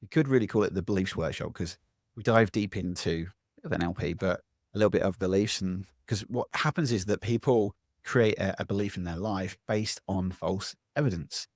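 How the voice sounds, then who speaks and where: A man, a public speaking coach giving a lively interview answer from home, acting out voices as he talks. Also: low-pitched, fairly quick, no echo, clean studio sound. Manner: animated, acts out other people's voices.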